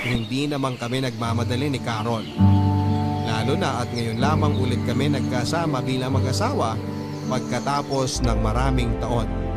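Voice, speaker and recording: A man with a Filipino accent narrating a letter-style story in a steady, confiding tone. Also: mid-range voice. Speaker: narrator reading a listener's letter.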